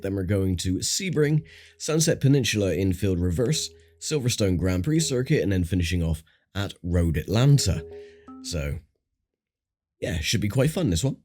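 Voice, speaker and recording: A man with a croaky voice giving casual, chatty commentary while playing a racing game, thinking aloud with fillers. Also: sits low, darkish tone. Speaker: gaming channel host. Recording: voice over game audio with engine noise.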